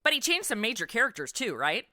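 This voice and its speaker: A woman hosting a podcast in a lively, scripted but conversational style. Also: a little high, quick pace, no echo, studio quality. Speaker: podcast host.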